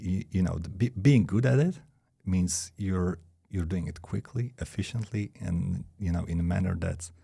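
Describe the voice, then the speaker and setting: A man in his forties with a Polish accent, a guest in a casual interview. His voice sits deep and a bit husky, with a dark, mellow tone. He talks in halting restarts, sprinkled with "you know" and "hey". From Poland. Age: 40s. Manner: hesitant, repeats words, says "you know" and "hey" often. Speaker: guest, director of engineering.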